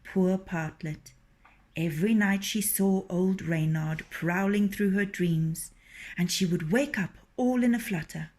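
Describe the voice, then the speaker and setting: A woman reading a children's story aloud in an expressive, lilting way. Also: lowish voice, measured pace.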